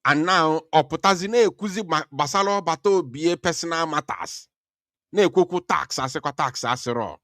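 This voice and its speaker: A man's voice: a little high, brisk, a bit bright, no echo, clean studio sound. A man hosting a live talk show with callers, often repeating phrases, restarting sentences and switching into Igbo. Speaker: show host taking callers.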